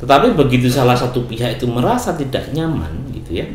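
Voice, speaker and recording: A man speaks as a guest in a casual discussion. His mid-pitched voice explains and keeps checking for agreement. The room is echoey, with faint noise behind him. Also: big pitch swings, quick pace, a little mellow. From Bekasi, Indonesia. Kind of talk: casual talk-show discussion.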